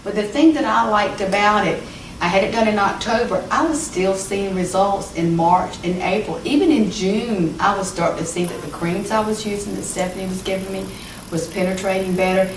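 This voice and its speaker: A woman's voice: medium pitch, moderate pace, distant mic. A woman in her fifties answering interview questions as a patient, talking conversationally with hesitant fillers. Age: 50s. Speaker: patient giving a testimonial. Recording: echoey room, voice from off-mic, background noise.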